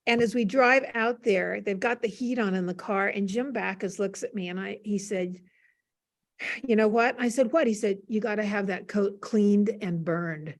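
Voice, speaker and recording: An older American woman, a panel guest, telling casual stories in an animated way and acting out remembered dialogue. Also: mid-range voice, normal speed. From United States. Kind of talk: casual anecdotal storytelling.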